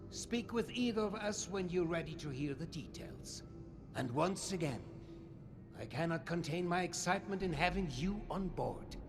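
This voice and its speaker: An actor playing an eager male vault leader who warmly welcomes a new recruit. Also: high voice. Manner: warm, effusive, drops German phrases. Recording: background game music.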